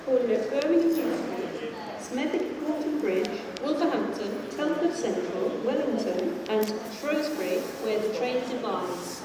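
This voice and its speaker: A fairly high-voiced English woman reads scripted railway announcements in a formal, measured, pieced-together automated delivery. Her voice comes from distant public address speakers, ringing with heavy echo through the station over clear background noise. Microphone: distant recorder picking up loudspeakers. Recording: echoey station tannoy from far off, noisy. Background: clear station ambience noise.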